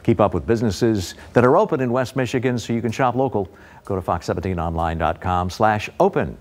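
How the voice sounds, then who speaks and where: A man's voice: fairly low pitch, a little fast. An older American man reading a scripted local TV news feature with polished presenter delivery. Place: TV news studio.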